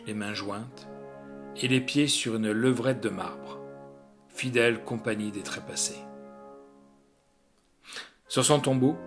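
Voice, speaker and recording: A man narrating a literary short story in a dramatic, expressive manner. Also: medium pitch. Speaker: audiobook narrator.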